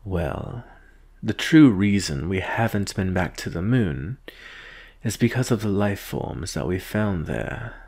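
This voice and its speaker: A man playing a calm, condescending alien specialist from a secret agency in a measured, deliberate ASMR roleplay monologue. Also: low-pitched, vocal fry, full character voice. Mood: intent, explanatory. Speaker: roleplay performer.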